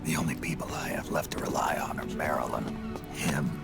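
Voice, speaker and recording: A man playing a weary, gruff aging soldier in a video game drama, speaking in a rasping growl. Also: very deep voice, moderate pace, over loud music. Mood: grim, weary.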